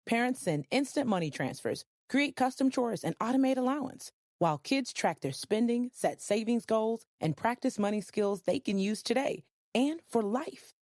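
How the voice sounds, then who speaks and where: A woman's voice: a little high, animated, normal speed, few pauses, darkish tone, dry recording, clean studio sound. A woman announcer reading scripted advertisement narration.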